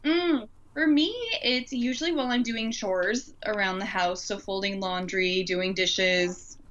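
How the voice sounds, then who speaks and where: A woman chats informally as a podcast co-host, thinking aloud as she goes. Her voice is bright, at an average pitch for a woman. The recording is a little dull and lo-fi. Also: fairly even intonation, unhurried. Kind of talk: casual podcast chat.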